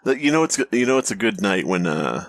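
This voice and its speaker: A man's voice: lowish voice, sing-song, fairly quick, no room sound. A man co-hosting a podcast with casual, wry, joking banter and some reading aloud. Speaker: podcast co-host.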